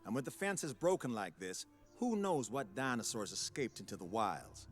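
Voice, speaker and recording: A man's voice: medium pitch, very animated, moderate pace, soft background music, close to an everyday voice. Guy playing wary park security type in a game, emphatic, voice moves around a lot. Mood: cautioning. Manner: emphatic, cautioning. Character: cautious, emphatic male park security expert. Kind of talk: scripted video game dialogue.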